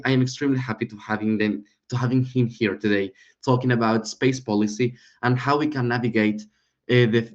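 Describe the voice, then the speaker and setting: A man's voice: mid-range voice, lo-fi sound. A man hosting an online session and introducing the guest speaker, with many restarts along the way. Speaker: session host.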